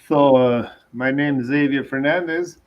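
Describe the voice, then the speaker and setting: A man from Venezuela with a Venezuelan Spanish accent, introducing himself as an investor on a panel, measured and hesitant. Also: medium pitch, lo-fi sound.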